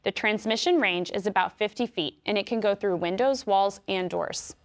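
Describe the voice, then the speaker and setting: A woman with an American accent giving scripted instructions in a clear, measured way. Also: medium pitch. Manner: clear, measured explanatory delivery. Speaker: instructional video narrator.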